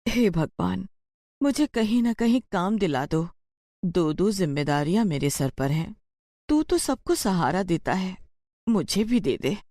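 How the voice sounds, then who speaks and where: A woman's voice: fairly low pitch, sing-song, a little slow, mellow, no echo, clean studio sound, full character voice. A woman voicing a poor, troubled village mother in a moral story, pleading and sorrowful. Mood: sorrowful prayer. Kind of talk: acted dialogue in a Hindi moral story.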